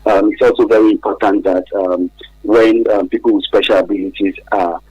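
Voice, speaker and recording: Nigerian male expert guest for radio interview: low, husky, hesitant delivery, dry studio sound. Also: steady tone, a little mellow, dry recording, poor audio. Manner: hesitant, frequent pauses and repeated "right?" tags. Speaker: guest expert from an advocacy organization. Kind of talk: radio interview, explanatory advice.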